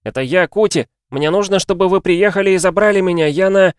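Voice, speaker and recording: A man's voice: medium pitch, moderate pace, dry recording, studio quality, voice pushed up, lightly acted. A man voicing a frightened young boy in an audiobook, crying and desperately pleading with his parents.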